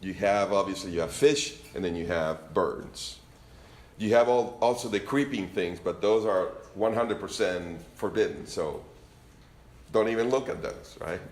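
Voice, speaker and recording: A man's voice: low voice, unhurried, echoey room. A man from Venezuela with a Spanish accent, teaching from scripture to a congregation in a conversational, joking way. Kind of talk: informal sermon teaching.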